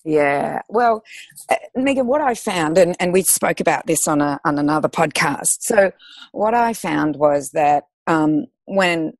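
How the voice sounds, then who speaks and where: A woman's voice: sits low, expressive, a little slow, no echo. A woman, explaining conversationally as a podcast co-host. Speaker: podcast co-host, food industry commentator.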